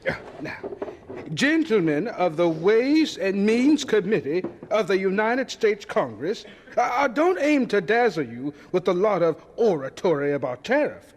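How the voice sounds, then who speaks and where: An actor playing an elderly, humble Southern scientist in an audio drama, with a hesitant, courteous and earnest manner. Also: male voice, high voice, sing-song, unhurried, a little hiss or hum. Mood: fumbling, courteous.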